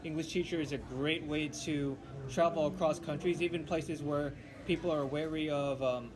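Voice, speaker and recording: A young man studying linguistics, chatting casually in a halting way with many restarts and fillers. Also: fairly high pitch, steady tone, over music, poor audio. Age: young adult. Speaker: linguistics student. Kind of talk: casual chat.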